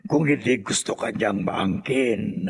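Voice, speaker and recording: An actor playing a menacing middle-aged sorcerer who serves Satan, raspy and theatrical, in a radio horror drama. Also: male voice, fairly low pitch, slow pace, exaggerated voice. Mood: sinister resolve. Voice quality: raspy, gravelly. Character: menacing older sorcerer father serving Satan.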